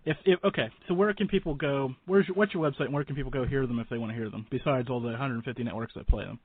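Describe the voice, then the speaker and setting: Guy hosting a radio chat, medium pitch, rambly and effusive, dry thin phone-quality audio. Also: racing, husky, dry recording. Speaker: radio show host. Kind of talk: casual interview chat.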